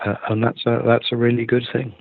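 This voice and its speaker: Male interviewee on tinny, dry phone-quality audio, gravelly mid-pitched voice, casual, emphatic repetition. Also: completely flat delivery, fairly quick, no room sound. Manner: emphatic, repeating himself. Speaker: theatre director being interviewed.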